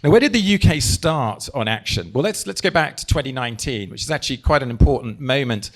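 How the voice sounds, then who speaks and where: A man from England, a party leader, giving a live speech to an audience, talking forcefully with slow, stressed phrases into a handheld mic. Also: mid-range voice, animated.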